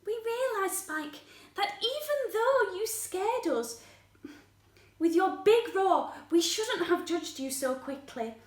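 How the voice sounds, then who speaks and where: A woman voicing a prim animal neighbour in a children's story, wary of strangers, with a haughty, deliberate manner. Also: extremely high pitch, lively intonation, roomy sound, recorded from a distance, exaggerated voice. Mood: apologetic.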